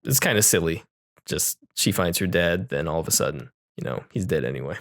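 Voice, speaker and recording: A man's voice: low-pitched, animated, brisk, fairly bright tone. Casual male podcast host. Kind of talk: casual film discussion chat.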